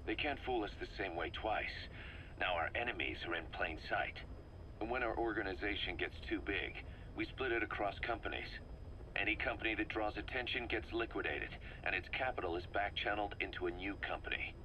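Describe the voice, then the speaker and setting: A man's voice: on the low side, brisk, pushed and bright, a little hiss or hum, poor audio. An actor playing a bitter middle-aged mercenary commander in a video game, briefing his boss in a terse, intense way. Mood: assured, businesslike. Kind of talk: dramatic video game acting, expository briefing.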